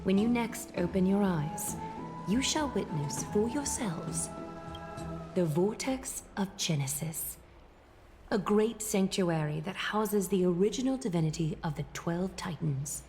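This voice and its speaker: A woman playing a serene, solemn priestess in a video game, speaking slowly and formally with a breathy, sometimes whispering voice. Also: medium pitch, expressive, close to an everyday voice. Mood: solemn, instructive. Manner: slow, deliberate, formal and commanding. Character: serene, solemn priestess guiding a sacred water ritual. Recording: background music under game dialogue.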